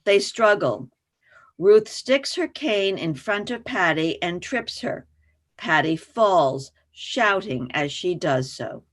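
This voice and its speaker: A woman's voice: fairly low pitch, lively intonation, takes it slowly. A woman reading scripted stage directions as narrator for a play reading.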